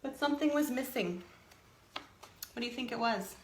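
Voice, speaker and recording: A woman reading a picture book aloud to young children, expressively, pausing to add simple explanatory asides. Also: fairly high pitch, reverberant, slight background noise. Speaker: storytime reader.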